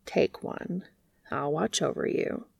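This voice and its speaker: Lady reading as an earnest curious bee guy, soft and unhurried, gentle storybook voice, dry close sound. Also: low voice, gravelly, slightly rough, no room sound, heavily acted. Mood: caring.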